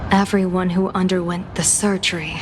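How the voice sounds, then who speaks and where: A woman playing a composed, commanding general in a game, with a formal, measured and grave delivery. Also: fairly low pitch, flat delivery, noisy background, natural voice. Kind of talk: dramatic game dialogue.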